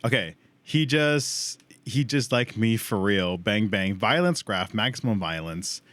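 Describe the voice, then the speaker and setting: A man's voice: fairly low pitch, a little slow, dry recording. A man hosting a livestream, reacting casually to a cartoon with slang and swearing. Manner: slangy, informal. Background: animated show playing.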